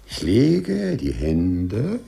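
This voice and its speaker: A man's voice: on the low side, huge pitch swings, very slow, creaky, background noise, heavily acted. A man playing a meek office clerk turned spiteful avenger in a 1950s German radio play, theatrical and mock-servile. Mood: scheming glee.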